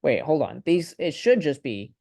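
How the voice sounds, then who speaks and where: Young man, creaky and halting. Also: a little high, very animated, a little mellow, no room sound, clean studio sound. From Ukraine. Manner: tentative, halting, thinking aloud. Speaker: student in a class discussion. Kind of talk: casual, rambling group discussion. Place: video call.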